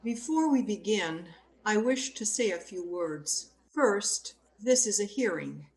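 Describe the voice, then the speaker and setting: A woman acting as a stern courtroom judge with a medium-pitched voice. She speaks in formal, deliberate, authoritative sentences, as in a scripted radio drama. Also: rough recording.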